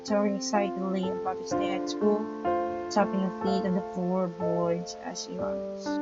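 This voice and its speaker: Woman reading aloud haltingly over loud music. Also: medium pitch, lo-fi sound. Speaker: gamer reading a visual novel aloud. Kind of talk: read-aloud game narration with asides. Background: loud piano music from the game.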